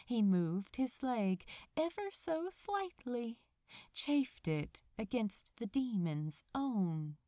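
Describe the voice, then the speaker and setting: Woman doing dramatic audiobook reading, really lively pitch swings, takes her time, dry sound. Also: takes it slowly, dry recording. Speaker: fan fiction narrator. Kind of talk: dramatic scripted audiobook narration.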